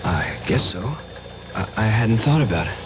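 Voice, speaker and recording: An actor playing a distraught, haunted man in a radio drama, speaking in a halting, troubled way. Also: lowish voice, lively intonation, lightly acted. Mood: troubled, uncertain. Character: distraught, haunted man grieving a murdered woman. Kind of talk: 1970s radio drama acting. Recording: dull old radio recording, clear noise.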